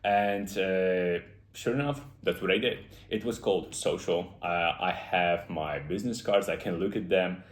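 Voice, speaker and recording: A man's voice: sits low, normal speed. A man in his twenties with a Polish accent, talking earnestly and off the cuff about lessons and goals, with many "uh" fillers. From Poland. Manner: earnest, reflective, with frequent "uh" fillers. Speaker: self-improvement YouTuber. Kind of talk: unscripted motivational monologue. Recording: echoey room.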